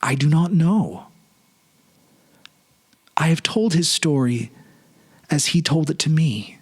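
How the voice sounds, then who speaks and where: A man giving a dramatic literary narration in a solemn, measured, pensive manner. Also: fairly high pitch, lively intonation, slightly rough. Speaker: narrator, series producer and presenter.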